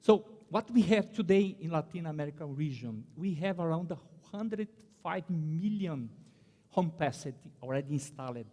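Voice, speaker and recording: Brazilian man, slightly high voice, halting English presentation, hall echo. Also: expressive, mellow. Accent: Brazilian Portuguese. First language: Portuguese. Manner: halting, many fillers and restarts. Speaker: conference panelist. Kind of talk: conference presentation. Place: conference hall.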